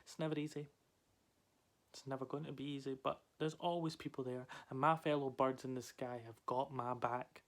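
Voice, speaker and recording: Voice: medium pitch, fairly even intonation, moderate pace, natural voice. An actor playing a weary but defiant caged male parrot in a solo theatrical monologue, speaking in an emphatic, conversational way. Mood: reflective.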